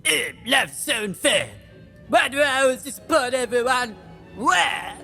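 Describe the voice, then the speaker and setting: A woman's voice: high-pitched, expressive, a little slow, vocal fry, sharp tone, faint music behind, exaggerated voice. A woman voicing a hapless, self-pitying baguette robot, whiny and eager to please, in a comedy audio drama.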